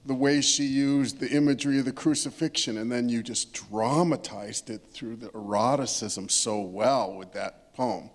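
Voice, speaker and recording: A man with a medium-pitched voice offers literary commentary at a panel discussion. He is emphatic, building each point by restating it in parallel phrases. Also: moderate pace, hardly any pauses. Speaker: panelist speaking about poetry.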